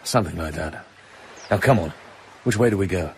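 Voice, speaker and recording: An actor playing a tough British secret agent in a radio drama, speaking in a clipped, commanding, understated way. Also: male voice, deep voice, fairly quick, a little mellow, a little hiss or hum. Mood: firm, dry.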